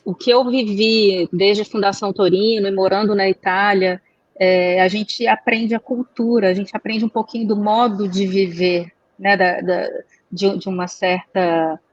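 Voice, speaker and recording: A woman, a guest answering interview questions in a measured, reflective way. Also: mid-range voice, fairly even intonation. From Minas Gerais, Brazil. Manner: measured, reflective, searching for words. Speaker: guest, chamber of commerce executive. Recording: dry, dull recording.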